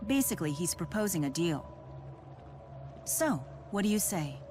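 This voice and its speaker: An actress playing a composed, blunt, cool-headed woman in a fantasy adventure game, speaking in a measured, frank way. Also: lowish voice, big pitch swings. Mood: calm, dry. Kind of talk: video game cutscene voice acting. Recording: game music behind the voice.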